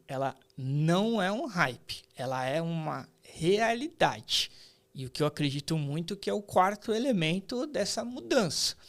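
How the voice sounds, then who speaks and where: Higher-pitched Brazilian man explaining emphatically. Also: a bit bright. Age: late 30s. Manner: emphatic, colloquial, asks rhetorical questions. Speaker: podcast guest, artificial intelligence expert and professor. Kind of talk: conversational explanation in an interview.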